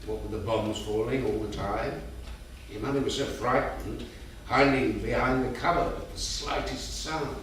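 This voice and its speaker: An actor playing a gentle, doting grandfather telling stories in a tender way at a staged play reading. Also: medium pitch, normal speed, close to an everyday voice. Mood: tender, reminiscing. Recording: echoey theatre from far off, faint noise.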